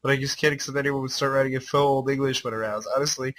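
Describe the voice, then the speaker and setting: A man's voice: medium pitch, fairly even intonation, rapid-fire, fairly bright tone. A man reading a story aloud as a livestream host, mixing dramatic narration with irreverent, incredulous commentary. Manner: irreverent, incredulous, swearing asides.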